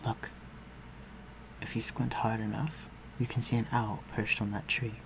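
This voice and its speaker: Over a clearly audible bed of ambient noise, a man acts out a courtly vampire lord in an audio drama. His voice sits low, and he speaks in formal, old-fashioned phrases with a gentle, protective care for the human he addresses. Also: little pitch movement, unhurried. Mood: gentle, attentive. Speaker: voice actor. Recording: clearly noisy, ambient sound behind voice.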